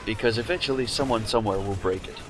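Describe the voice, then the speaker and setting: A man's voice: lowish voice, quick pace, soft background music. A man co-hosting a let's-play session, making dry, sarcastic jokes about the game. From United States. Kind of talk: casual gaming banter.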